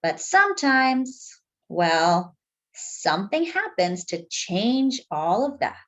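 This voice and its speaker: A woman reading a picture book aloud to young children as a storytime host, expressive and speaking directly to her listeners. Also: mid-range voice, takes it slowly, highs cut off.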